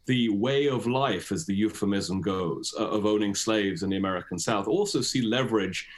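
A man's voice: lowish voice, normal speed. A man with a British accent, speaking as an academic guest in an explanatory, discursive and emphatic interview style.

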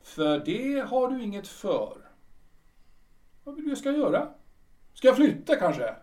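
A man's voice: very high-pitched, very animated, darkish tone, recorded from a distance. A man playing a proud, brooding retired official in his sixties in a radio drama, speaking theatrically and repeating himself emphatically. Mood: indignant. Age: older. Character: proud, brooding 62-year-old retired official clinging to memories.

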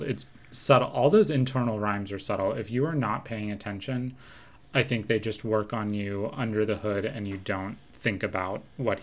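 Analytical man chatting about film songs. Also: fairly low pitch. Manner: thoughtful, analytical, quotes lyrics with relish. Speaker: podcast co-host. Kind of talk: casual chat.

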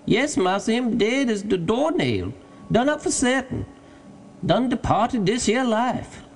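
A man's voice: very high-pitched, expressive, a little slow, a little hiss or hum, voice pushed up, exaggerated voice. A man voicing an eager, fearful male servant in an audiobook story, calling out in broken rustic dialect. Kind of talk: dramatized audiobook character.

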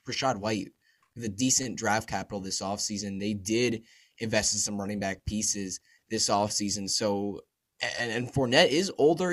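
A young man, hoarse and froggy from a sore throat, chatting eagerly as a podcast guest and repeating himself. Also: on the low side, fairly bright tone. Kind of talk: casual fantasy sports podcast chat.

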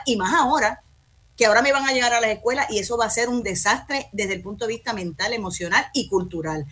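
A woman's voice: fairly high pitch, a bit bright, husky. A woman who leads a sports federation, speaking passionately and emphatically on a panel. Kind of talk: panel discussion.

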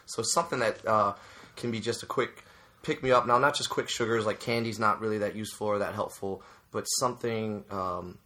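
A man co-hosting a casual youth soccer chat, his voice sitting low but carrying a bright edge. He talks earnestly, with plenty of restarts, and turns emphatic when he makes a point. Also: fairly even intonation, moderate pace, hardly any pauses. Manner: frequent fillers and restarts. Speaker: youth soccer coach and podcast co-host.

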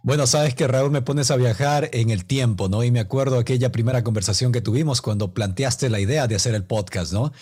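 Man, husky, medium pitch, measured conversational presenter, podcast co-host. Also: darkish tone. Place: remote call.

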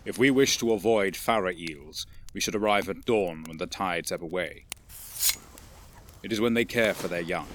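A man playing a stern, cautious alien warrior in a science fiction audio drama, formal and deliberate in his speech. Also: low voice, slight background noise, close to an everyday voice. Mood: cautious, measured.

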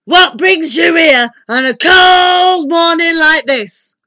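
A woman voicing a huge, jolly male giant wizard in a children's storybook, booming and exclaiming heartily. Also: slow, pushed and bright, no echo, clean studio sound, full character voice. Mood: booming welcome.

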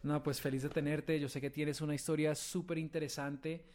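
A man's voice: a little high, level tone, fairly quick, darkish tone, soft background music. A man hosting a startup podcast, upbeat, reading a scripted opening and interviewing a guest. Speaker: podcast host.

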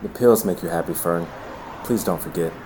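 A man's voice: low-pitched, normal speed, background noise, close to an everyday voice. An actor playing an earnest, cautious and dutiful young man with a serious, admonishing way of talking in an audio drama. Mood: gentle, wary.

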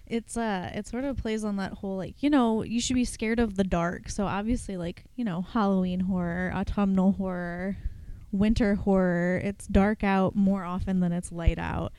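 A woman's voice: medium pitch, steady tone, normal speed, clear, resonant tone, dry recording. A young woman co-hosting a podcast, chatting casually with wry asides and rambling tangents. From Ohio. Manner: rambling, wry, breaks into sudden loud outbursts. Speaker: podcast co-host. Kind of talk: casual chat.

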